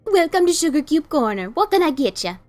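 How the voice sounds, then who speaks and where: Woman as kindly pony baker, high voice, over-polite, folksy, cartoon audio drama. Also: very animated, a little fast, darkish tone, soft background music. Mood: polite, eager.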